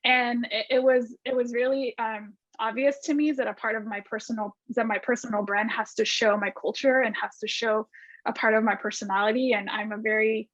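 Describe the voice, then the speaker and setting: A woman from Argentina, a guest designer, talks in a chatty run with lots of restarts and fillers. Her voice sits fairly high. The video call sound is dull and dry, with no room around it. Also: fairly even intonation, fairly quick, fairly bright tone, dry recording.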